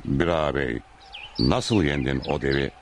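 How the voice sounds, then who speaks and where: Deep, stately devout sultan. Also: male voice, moderate pace, noisy background. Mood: reverent, curious. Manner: stately, formal old-fashioned phrasing. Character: devout Ottoman sultan, humble and dignified. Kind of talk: Turkish radio theater acting. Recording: radio drama with crowd and birdsong.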